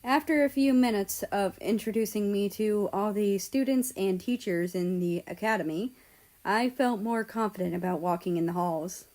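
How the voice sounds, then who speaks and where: A woman narrating a scripted first-person story in a measured, deliberate way. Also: mid-range voice, darkish tone, rough recording. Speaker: audiobook-style reader of fan fiction.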